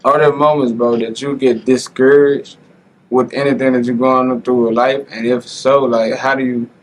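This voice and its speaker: A man's voice: medium pitch, little pitch movement, normal speed, poor audio. Earnest man hosting a podcast. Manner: earnest, motivational, says "bro" and "like" a lot. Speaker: podcast host. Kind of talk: casual interview chat.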